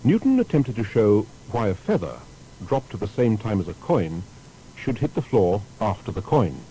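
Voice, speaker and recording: Man, scripted biography narration, lowish pitch, very mellow timbre, formal storytelling, slight background noise. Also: big pitch swings, low-quality recording. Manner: formal, storytelling delivery with deliberate emphasis. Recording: faint background noise with rumble.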